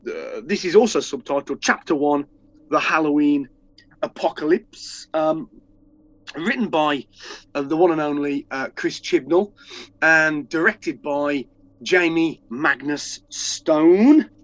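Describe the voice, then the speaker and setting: A man's voice: on the high side, slow pace, fairly bright tone, no echo, highs cut off. A man co-hosting a casual podcast with a cold, a bunged-up voice, rambling and restarting his sentences. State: congested with a cold. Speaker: podcast co-host. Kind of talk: casual chat.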